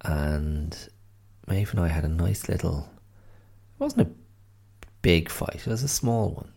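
A man's voice: low voice, lively intonation, unhurried, creaky, darkish tone, slightly rough. An Irish man hosting his own podcast, chatting casually and rambling with many self-corrections, tired and a little stuffy-nosed from hay fever. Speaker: podcast host. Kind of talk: casual solo podcast chat.

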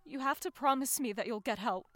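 Woman playing a bright, earnest young daughter. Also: on the high side, a little fast, dry recording, close to an everyday voice. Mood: firm, caring. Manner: earnest, firm. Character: earnest, loving but firm daughter. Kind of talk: audio drama acting.

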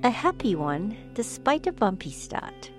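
A woman's voice: medium pitch, very animated, measured pace, loud music behind the voice. A woman from Singapore with a mixed, partly Australian accent, narrating and asking interview questions.

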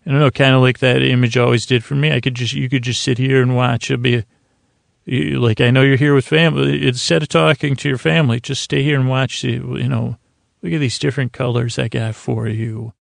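A middle-aged man with a creaky voice hosts a sleep podcast, giving a gentle, soothing bedtime monologue that meanders, halts and interrupts itself. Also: mid-range voice, flat delivery, a little fast, no room sound, studio quality.

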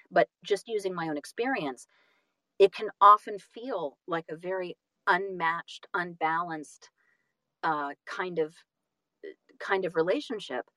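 Lower-voiced woman, earnest and expressive. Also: no echo, poor audio. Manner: expressive, acts out little quotes and asides. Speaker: guest, audiobook narrator and actor. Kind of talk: casual conversational interview.

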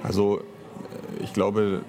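A man's voice: on the low side, monotone, takes it slowly. A man speaking unscripted as an interview guest, an audio drama author and director who reflects hesitantly and searches for words.

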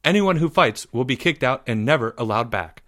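A man's voice: medium pitch, lively intonation, a little fast, a bit bright, lightly acted. A man voicing an orderly schoolboy club president in a formal, firm, businesslike manner. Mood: firm, officious.

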